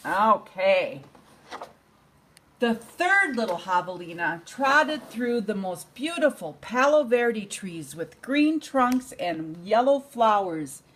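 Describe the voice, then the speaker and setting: An older woman, a grandmother, reading a bedtime storybook aloud to a child in an expressive, unhurried way. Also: medium pitch, a little hiss or hum, low-quality recording.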